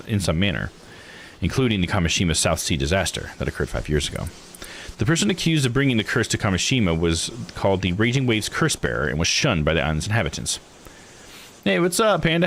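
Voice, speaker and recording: A man's voice: low-pitched, slight background noise. A man live-streaming a game, reading its text aloud and commenting in a laid-back, informal way. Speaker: live-streamer playing a visual novel.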